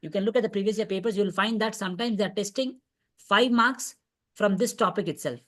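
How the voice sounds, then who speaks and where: A woman's voice: medium pitch, brisk. A woman with an Indian accent lecturing as a physics teacher in an online revision class, repeating points and often checking understanding. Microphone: online video call class.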